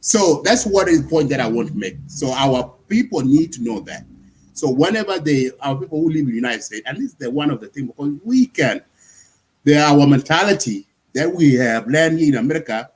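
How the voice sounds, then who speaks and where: A man's voice: on the high side, animated, brisk, breathy edge, low-quality recording. A man from Sudan speaking in a casual panel discussion, explaining at length and often checking in with "you see?". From South Sudan.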